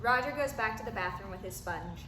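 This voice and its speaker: A woman's voice: mid-range voice, a little fast, pushed and bright. A woman reading out stage directions as scripted narration. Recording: echoey, noisy room recording.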